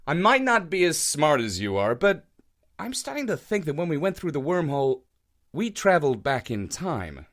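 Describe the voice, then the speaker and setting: A man's voice: a little high, sing-song, normal speed, fairly bright tone, pitched above the performer's own voice. A man voicing a polite, modest male astronaut who speaks earnestly and deferentially.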